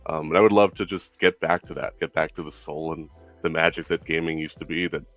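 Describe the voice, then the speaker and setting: A young man hosting a casual Q&A stream, speaking in a relaxed way with many ums and filler words. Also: a bass voice, brisk. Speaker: stream host.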